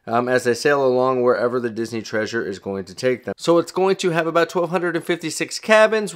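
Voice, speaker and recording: Chatty middle-aged man hosting a vlog. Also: mid-range voice. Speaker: travel vlogger and host. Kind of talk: casual explainer talk to viewers.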